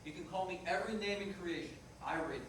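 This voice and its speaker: A man's voice: sits high, quick pace, fairly bright tone. A man playing a frank, remorseful working-class ex-fiancé in a staged reading, speaking in a plain, matter-of-fact way. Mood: firm, protective. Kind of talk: staged reader's theater dialogue. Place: indoor performance room, distant microphone. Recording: echoey noisy room recorded from far off.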